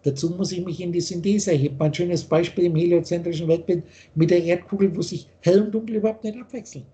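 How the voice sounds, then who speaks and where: A man's voice: sits high, steady tone, fast, dark tone. An older man on a video call from a home office, lecturing insistently on spiritual ideas and gesturing as he talks. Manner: insistent, gestures a lot while holding forth. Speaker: spiritual teacher. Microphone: video call webcam.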